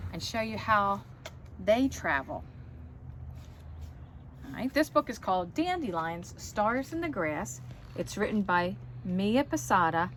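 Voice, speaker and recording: Slow-paced woman teaching and reading to children. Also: on the low side, a little hiss or hum. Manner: explanatory, asks the child listeners questions. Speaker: children's story time teacher and reader. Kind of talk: read-aloud and show-and-tell for young children.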